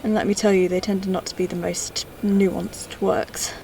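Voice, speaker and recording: Even, courteous female android. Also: medium pitch, gravelly, slight background noise, low-quality recording. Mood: reflective, fond. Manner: measured, polite. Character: courteous, curious female android, old model, new to the galaxy. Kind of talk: sci-fi audio drama acting.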